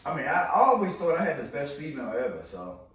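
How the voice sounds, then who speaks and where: Lively-pitched man, echoey distance. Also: quick pace. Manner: laid-back, laughs often, short agreeing replies. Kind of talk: casual interview chat. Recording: echoey room, distant mic, faint background noise.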